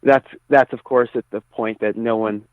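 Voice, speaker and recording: A man's voice: medium pitch, steady tone, normal speed, no echo. A man chatting as an interview guest over the phone, choosing his words thoughtfully. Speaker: musician guest. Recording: tinny narrow phone line.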